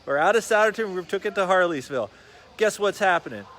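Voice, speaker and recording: A man's voice: sits high, expressive, quick pace, fairly bright tone. A man hosting a casual livestream interview in an upbeat, chummy way. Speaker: interviewer, real estate agent. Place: sports academy gym.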